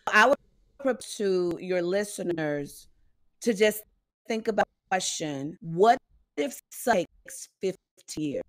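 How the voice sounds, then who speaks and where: A woman's voice: lowish voice, animated, measured pace. A woman guest speaking in an emphatic, preacherly, inspirational way. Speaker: podcast guest.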